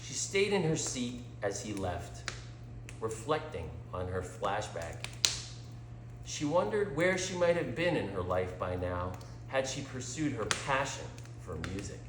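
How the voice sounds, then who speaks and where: Male storyteller, slow pace, dramatic storybook narration, widely varied intonation, deliberate phrasing. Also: on the low side, big pitch swings, background noise. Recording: echoey room.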